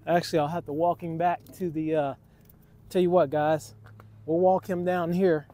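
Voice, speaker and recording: A man's voice: a little high, flat delivery, normal speed, warm tone. Chatty man fishing off a dock. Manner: chatty, addresses viewers as guys. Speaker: fishing channel host. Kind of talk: casual outdoor fishing vlog. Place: outdoors on a waterfront dock. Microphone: camera on a tripod, sometimes selfie-held.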